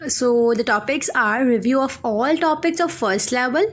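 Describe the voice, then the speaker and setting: A woman's voice: a little high, normal speed. A woman with a Pakistani accent, an online English teacher earnestly introducing her course, running through lists with frequent fillers. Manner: earnest, many fillers, list-like delivery.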